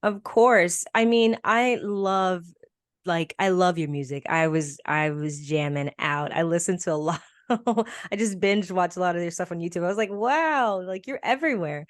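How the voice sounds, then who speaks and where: A woman's voice: lowish voice, lively intonation, moderate pace, no room sound. Bubbly woman host, dry close sound. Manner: bubbly, gushing, laughs often. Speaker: podcast host and interviewer. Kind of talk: casual interview chat.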